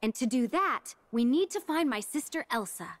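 A woman's voice: sits high, lively intonation, normal speed, no echo. A woman playing a friendly, upbeat young princess, trusting and determined, in a game cutscene. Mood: hopeful. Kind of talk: video game cutscene acting.

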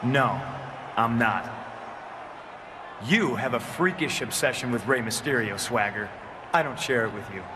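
An actor playing a disdainful, self-righteous wrestler in a video game, speaking with deliberate scorn. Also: male voice, medium pitch, animated, background noise, natural voice. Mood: contemptuous.

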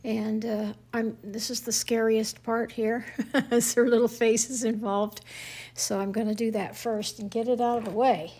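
An older woman with a raspy voice, calmly talking through a watercolor demonstration, sometimes dropping to a near whisper. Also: fairly high pitch, moderate pace. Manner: soft-spoken, careful, drifting into near-whisper while working. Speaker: watercolor painting teacher. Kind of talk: calm painting demonstration.

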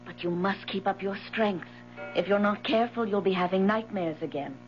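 An actress in a 1970s radio drama playing a gentle, solicitous widow with a soothing, genteel manner. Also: female voice, medium pitch, moderate pace, faint music behind, close to an everyday voice. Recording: muffled 1970s radio recording.

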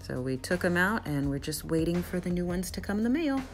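A young woman with a low voice talks through her project in a casual vlog voice-over. She sounds bubbly and easily excited. Soft music plays faintly behind her. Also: fairly quick. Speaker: vlogger.

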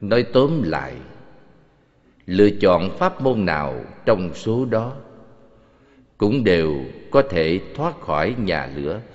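Very deep, solemn Buddha, reverberant. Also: male voice, big pitch swings, darkish tone, full character voice. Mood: calm explaining. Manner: measured, solemn, didactic. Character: serene, authoritative male Buddha teaching his disciples. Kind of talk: solemn dubbed scripture teaching. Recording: muffled, long cavernous reverb.

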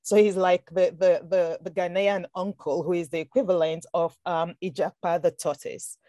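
Thoughtful Nigerian-born woman, low voice. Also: steady tone, normal speed, dark tone, dry recording, clean studio sound. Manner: thoughtful, with many restarts and fillers. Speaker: author guest. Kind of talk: conversational podcast interview.

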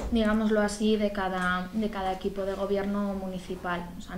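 A woman in her thirties with a Madrid Spanish accent, giving a measured, formal press conference statement from a table mic. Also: mid-range voice, darkish tone. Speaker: municipal party spokesperson. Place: small room in front of a party banner. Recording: echoey room, faint noise.

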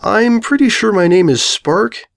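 A man's voice: high voice, lively intonation, measured pace, creaky, pitched above the performer's own voice, exaggerated voice. A man voicing an awkward, apologetic stallion pony who speaks hesitantly in a comic story.

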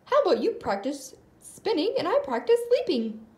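A woman's voice: extremely high pitch, very animated, moderate pace, darkish tone, reverberant, voice pushed up. A woman voicing an enthusiastic, playful young boy in a storybook, exclaiming and encouraging.

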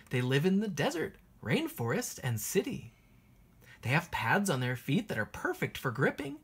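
Husky, low-voiced woman reading to children. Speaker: teacher reading a children's book aloud. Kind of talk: expressive picture-book read-aloud for young children.

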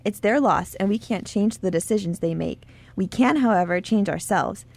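A woman's voice: mid-range voice, normal speed, creaky, dry recording. A woman playing a confident, encouraging young girl in an amateur radio play, speaking in an assured, coaxing manner.

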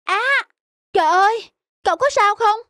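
A woman's voice: very high voice, normal speed, sharp tone, no echo, studio quality. A woman voicing a frail, withdrawn, wistful little girl in a cartoon, hesitant and childlike. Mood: concerned. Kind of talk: cartoon dubbing.

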